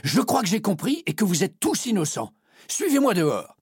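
A sharp, courteous storybook detective, voiced by a male narrator, lifting his voice brightly above his usual low tone. He questions everyone with lively curiosity. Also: unhurried, breathy edge, no room sound, clean studio sound, heavily acted. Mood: inquisitive. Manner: courteous and inquisitive. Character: clever, polite male detective.

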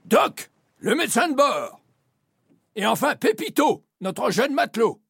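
A bellowing pirate captain, put on by a male storyteller, high and gravelly, his voice swooping wildly as he roars oaths. He is quick to anger and loud. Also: big pitch swings, dry recording, studio quality, full character voice. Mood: gruff. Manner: shouting, swearing old-fashioned oaths.

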